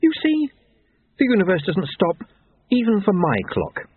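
A man plays a manic clockmaker in a fantasy audiobook, fussy and precise to the point of madness. His voice rides high and leaps around, heard through a thin phone-like band. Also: measured pace, breathy edge, full character voice. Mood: gleeful. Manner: precise, excitable, over-rational. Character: Jeremy, fussy, manic, unhinged male clockmaker.

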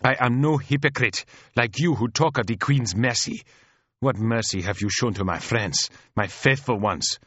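A man's voice: medium pitch, expressive, normal speed, hardly any pauses, bright, forceful tone, breathy edge, no echo, highs cut off, pitched above the performer's own voice, full character voice. A man voicing a spoilt, hot-tempered young princess in an audiobook story, snapping defiantly in outbursts. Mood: furious, accusing.

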